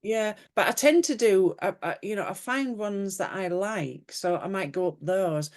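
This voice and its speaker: A woman's voice: medium pitch, moderate pace, a little mellow. A woman, an author chatting as a guest on a video call interview, with many fillers and restarts. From Yorkshire, England. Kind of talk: casual conversational interview.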